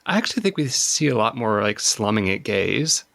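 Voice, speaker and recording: A man who grew up in the Chicago suburbs speaks as a guest on a casual podcast. His voice is low and gravelly with plenty of vocal fry, and he thinks his points through in a measured way. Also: sing-song, fairly bright tone. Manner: thoughtful, measured. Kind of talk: casual chat.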